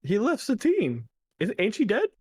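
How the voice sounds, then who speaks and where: A man's voice: high-pitched, wildly swooping pitch, normal speed, a little mellow, slightly rough, no room sound. A man with a Chicago accent co-hosting a casual podcast, playful and laughing often. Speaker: podcast co-host. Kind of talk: casual chat.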